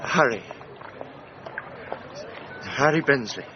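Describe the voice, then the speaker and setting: An actor playing a proud, bitter Edwardian gentleman adventurer with an English accent, speaking in halting, well-spoken exclamations in a radio drama. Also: medium pitch, expressive, dull audio, background noise. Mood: subdued.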